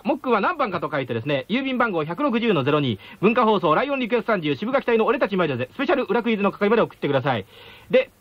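A man hosting a lively contest segment on a radio show, heard on an old off-air radio recording. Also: sits high, highs cut off. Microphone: radio studio microphone.

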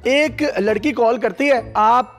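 A male reporter with a Pakistani accent and a very high-pitched voice. He presents to camera in a teasing, playful way into a handheld microphone, with music behind him. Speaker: reporter hosting a segment. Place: emergency call-centre control room. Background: background music.

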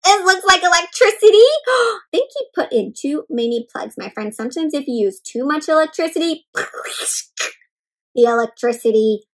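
A young woman doing an interactive read-aloud for children, exclaiming and putting questions to her young listeners in an animated way. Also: high voice, normal speed, rough recording. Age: young adult. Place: indoor room with bookshelves.

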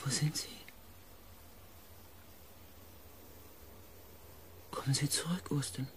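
A man playing a shaken, earnest expedition pilot in a science fiction radio play, with weighty, hushed dramatic delivery. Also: fairly high pitch, slow, slight background noise. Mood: pleading.

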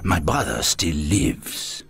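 Smug villainous noble. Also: male voice, deep voice, very animated, slow pace, gravelly, fairly bright tone, faint music behind, exaggerated voice. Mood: sly. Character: sly villainous male noble. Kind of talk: video game cutscene acting.

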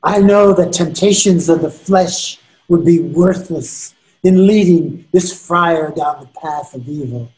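An older man acting a contemptuous, gleeful devil in amateur readers theater, plotting in a deliberate, drawn-out delivery. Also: fairly high pitch, a little mellow, rough recording. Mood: scheming. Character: scheming devil, old male tempter, contemptuous and gleeful. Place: indoors at home, video call.

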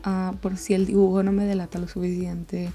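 A woman's voice: fairly low pitch, level tone, moderate pace. A woman in her twenties with a Venezuelan accent, chatting casually and ramblingly in an informal voice-over. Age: 20s. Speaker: art channel host. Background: music.

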